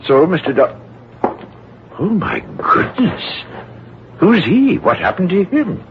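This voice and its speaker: An actor playing a prissy, excitable rich gentleman, flattering and over-polite, in an old-time radio drama. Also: high voice, slow, full character voice. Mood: shocked. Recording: dull, hissy old radio recording.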